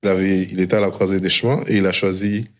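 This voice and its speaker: Male interview guest, low register, reflective storyteller, slips English phrases into French. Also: level tone, fast. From DR Congo and Canada. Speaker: interview guest, tech founder. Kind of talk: reflective personal storytelling in conversation.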